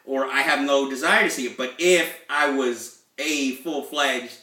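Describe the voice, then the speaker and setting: A man's voice: mid-range voice, unhurried, bright, forceful tone, reverberant, recorded from a distance. A man hosting a casual film review show, talking in a rambling, self-deprecating way. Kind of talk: casual chat.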